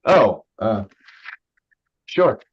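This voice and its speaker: A man's voice: on the low side, big pitch swings, drawn-out words, breathy edge, dull audio, exaggerated voice. A man acting in a naturalistic play reading as an evasive, joking young brother who hides his drinking. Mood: subdued, guarded. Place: remote online staged reading.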